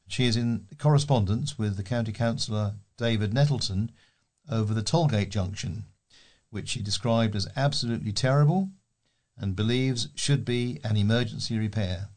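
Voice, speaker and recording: A man with a British accent and a fairly low voice reads local news stories. He delivers written articles aloud in the role of a volunteer newsreader. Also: normal speed, darkish tone.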